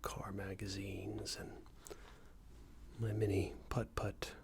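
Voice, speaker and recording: A man playing a smarmy, passive-aggressive company boss in a soft-spoken ASMR roleplay, hesitant and condescending, often half-whispered. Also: sits low, completely flat delivery, slow pace, heavy background noise, exaggerated voice. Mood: falsely friendly. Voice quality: breathy, half-whispered, creaky. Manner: hesitant, hedging, condescending, rambling corporate small talk.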